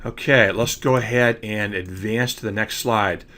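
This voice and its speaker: A man's voice: fairly low pitch, steady tone, measured pace, bright, forceful tone. A man with a congested voice from allergies, explaining trading ideas over slides and often checking in with "okay?". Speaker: trading educator. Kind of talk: screen-recorded slide lecture.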